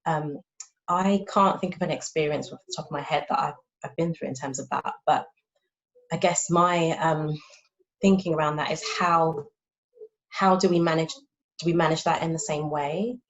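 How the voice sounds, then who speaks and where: A woman's voice: low voice, normal speed. A woman speech and language therapist in a casual panel discussion, talking conversationally and checking in with her listeners. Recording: dull lo-fi audio.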